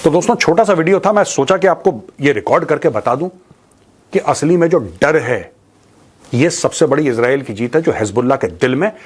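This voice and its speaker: An Indian man with a slightly high voice gives informal news commentary. He is blunt and mocking, talking colloquially to his viewers and slipping into Indian-accented English. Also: animated, normal speed, breathy edge. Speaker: commentator and show host.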